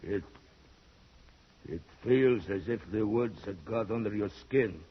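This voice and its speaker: A man's voice: on the low side, slow, slightly rough. An actor playing a bitter, defiant young village man in an old-time radio drama, speaking with brooding intensity. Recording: muffled old radio recording.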